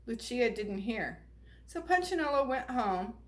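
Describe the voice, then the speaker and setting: A woman reading a children's storybook aloud in an expressive, unhurried way. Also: on the high side. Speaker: storybook reader.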